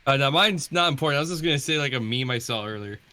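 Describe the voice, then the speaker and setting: A man's voice: mid-range voice, lively intonation, fast, bright, forceful tone, a little hiss or hum. A man guesting on a livestream, talking in a casual, conversational way. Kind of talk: casual chat.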